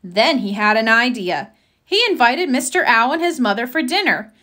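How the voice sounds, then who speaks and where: A woman reading a children's story aloud in an expressive, careful storytelling manner. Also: a little high, normal speed. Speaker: storybook reader. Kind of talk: children's read-aloud narration.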